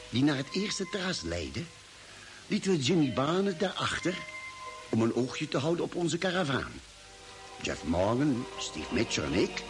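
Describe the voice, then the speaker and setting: An actor playing an expedition doctor narrates a space voyage in a measured, formal storytelling manner for a radio drama. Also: male voice, medium pitch, natural voice. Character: male expedition doctor recounting a Mars voyage.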